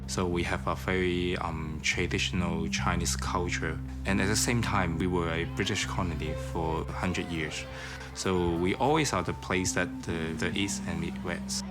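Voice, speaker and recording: Man, deep voice, school spokesman, loud music behind. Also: fairly even intonation, normal speed. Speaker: school representative. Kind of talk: interview.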